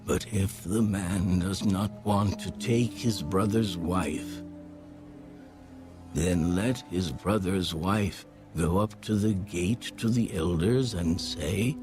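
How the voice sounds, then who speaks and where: A man narrating scripture from a script, solemn and deliberate, with measured phrasing. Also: on the low side. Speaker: audio Bible narrator. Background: background music.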